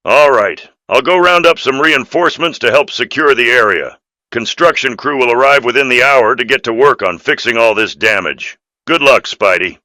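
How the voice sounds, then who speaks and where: A man's voice: medium pitch, normal speed, sharp tone, no echo, natural voice. A man voicing an earnest, dutiful police officer in video game dialogue, firm and official in manner.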